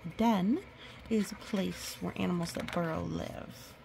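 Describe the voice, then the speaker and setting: A woman reading a picture book aloud to a young child, animated and repeating words for emphasis, with explanatory asides. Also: lowish voice, measured pace, gravelly, a little hiss or hum.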